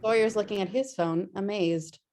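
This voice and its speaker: A woman's voice: medium pitch, normal speed, a little mellow, slight background noise. Woman reading screenplay directions at a table read, mid-range voice, narrator style.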